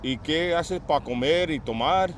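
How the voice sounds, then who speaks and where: A man conducting an informal outdoor interview, asking halting questions in slangy speech with English slipping in. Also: a little high. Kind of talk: casual street interview. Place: outdoors at a store parking lot. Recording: outdoor street noise, traffic.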